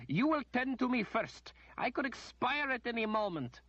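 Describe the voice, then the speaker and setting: A man voicing a haughty, shrill noblewoman who shrieks and whines petulantly. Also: big pitch swings, normal speed, fairly bright tone, no echo, highs cut off, exaggerated voice. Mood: imperious.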